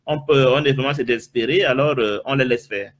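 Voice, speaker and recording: A man from Africa hosting an interview, asking measured questions and reformulating his guest's points. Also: medium pitch. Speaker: interview host.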